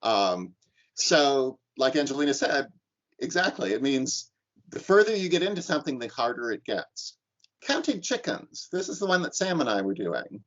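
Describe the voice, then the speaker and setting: A man's voice: medium pitch, sing-song, moderate pace, fairly bright tone, dull audio. A man instructor teaching an online class and leading discussion, talking thoughtfully with frequent restarts and ums.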